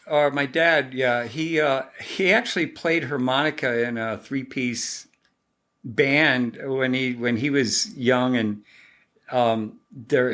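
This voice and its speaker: A man's voice: medium pitch, fairly bright tone. A man in his sixties with an American accent, telling his story casually as an interview guest, modest and given to self-deprecating jokes. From born in Germany, grew up in southern Michigan, USA. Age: 60s.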